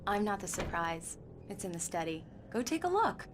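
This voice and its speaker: An actress playing a writer's supportive wife in a video game, affectionate and coaxing in manner. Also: female voice, mid-range voice, sing-song, normal speed, noisy background, natural voice. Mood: playful. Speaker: protagonist's wife. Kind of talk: cinematic game acting.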